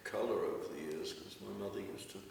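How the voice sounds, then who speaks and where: Gravelly older southern English man, echoey room. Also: sits low, quick pace, darkish tone. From Brighton, England. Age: late 70s. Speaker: reminiscence group participant. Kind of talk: casual reminiscing. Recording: echoey hall, distant mic, noisy.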